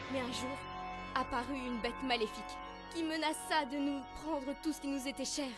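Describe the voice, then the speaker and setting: A woman's voice: high-pitched, lightly acted. A woman voicing an affectionate, chatty pony mother in a French cartoon fandub, cheerful and doting. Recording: studio dub with faint music.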